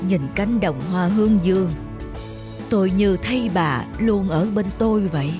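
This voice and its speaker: A woman's voice: medium pitch, normal speed, over music, lightly acted. A woman voicing a sorrowful, withdrawn old widower in a dubbed cartoon. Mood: tender. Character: grieving old bearded widower father. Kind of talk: cartoon dubbing.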